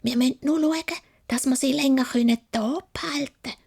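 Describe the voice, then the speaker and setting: A woman's voice: high-pitched, moderate pace, gravelly, a little mellow, breathy edge, voice pushed up, full character voice. A woman voicing envious, scheming sisters in a Swiss German fairy tale, conspiratorial and half-whispered. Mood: scheming, gleeful. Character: two envious scheming older sisters, sly and gleeful.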